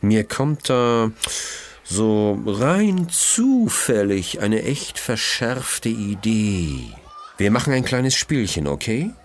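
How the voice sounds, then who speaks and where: A man's voice: on the low side, very animated, slow, pitched above the performer's own voice. A man voicing a shady, cocky teenage card forger with growling, slangy hustler talk in a children's audio mystery. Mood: cocky, sly.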